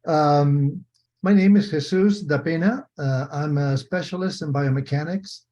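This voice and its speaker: A muffled video-call recording of an older man with a Spanish accent. His voice is mellow and a little high for a man. He explains like a methodical professor, restating each key point. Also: a little slow. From Spain. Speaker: retired biomechanics professor, lecturer. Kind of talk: explanatory online lecture.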